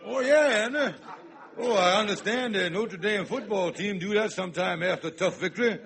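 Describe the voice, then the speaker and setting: A man playing a scheming, henpecked husband in old-time radio comedy, with a raspy voice and excitable, wheedling, folksy ungrammatical speech. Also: high-pitched, moderate pace. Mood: gleeful storytelling. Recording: vintage radio broadcast recording.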